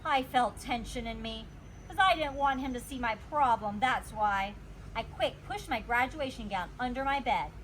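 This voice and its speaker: A woman reading a children's storybook aloud like a teacher, expressive and emphasizing her words. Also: a little high, normal speed, slight background noise, poor audio. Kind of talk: animated storybook read-aloud.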